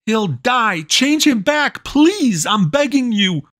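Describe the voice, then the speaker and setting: A man's voice: high-pitched, very animated, moderate pace, fairly bright tone, no echo, studio quality, pitched above the performer's own voice, exaggerated voice. A man voicing a frightened young boy who pleads and stammers. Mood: desperate.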